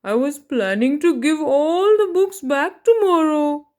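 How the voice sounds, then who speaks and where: A woman's voice: sits high, expressive, dark tone, pitched above the performer's own voice. A woman voicing a sheepish male book thief in a storybook, apologetic and earnest. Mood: remorseful, pleading. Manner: apologetic, earnest, drawn-out delivery.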